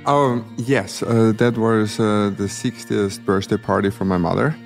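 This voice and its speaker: A man's voice: fairly low pitch, a little slow, creaky, soft background music. A man with an Austrian accent, a guest talking casually and a little hesitantly in an interview. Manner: relaxed, hesitant with fillers.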